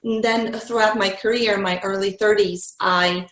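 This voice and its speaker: A woman in her forties from Bulgaria, answering interview questions in a reflective way with many pauses. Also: medium pitch, fairly even intonation, a bit bright, echoey room. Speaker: guest.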